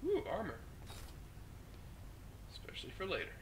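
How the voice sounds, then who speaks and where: A young man with the flu commentates on a game he is playing, chatting casually, swearing and reacting out loud to what happens on screen. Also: high voice, huge pitch swings, normal speed. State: sick with the flu, hoarse. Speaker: gaming host. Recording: noisy home mic recording.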